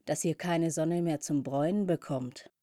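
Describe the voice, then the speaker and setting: A woman's voice: sits low, normal speed, warm tone, dry recording, studio quality, close to an everyday voice. A woman voicing a group of slim, catty female wasps in a children's story, mocking and sneering.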